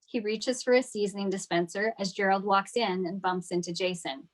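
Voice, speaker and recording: A woman with a medium-pitched voice narrates stage directions. She reads from a script in the plain manner of a table read. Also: steady tone, moderate pace.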